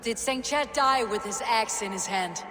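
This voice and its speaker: Terse, dry-witted Viking warrior. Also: female voice, a little high, moderate pace, sharp tone, a little hiss or hum. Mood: dry, dismissive. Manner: terse, wry, unbothered. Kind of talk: video game cutscene acting.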